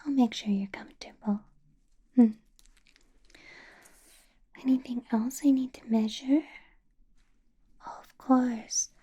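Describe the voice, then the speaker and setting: A woman's voice: fairly high pitch, fairly even intonation, slow pace, long pauses, slightly rough, exaggerated voice. A woman whispering as a teasing vampire boss. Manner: soft whispering, playful and controlling. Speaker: ASMR artist. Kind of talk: ASMR roleplay.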